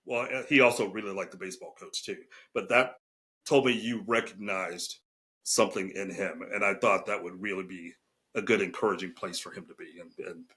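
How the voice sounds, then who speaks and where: Lowish-pitched male podcast host. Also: steady tone, brisk, pushed and bright. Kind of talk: casual conversational interview.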